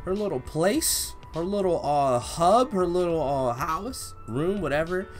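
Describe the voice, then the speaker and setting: A young man hosts a casual reaction in an animated voice that swings widely in pitch. His talk is slangy and full of gushing enthusiasm. Soft music plays behind him in a home room. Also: measured pace. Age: young adult. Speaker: reaction video host. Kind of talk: excited casual reaction commentary, reading manga aloud. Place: home room with bookshelf, indoors.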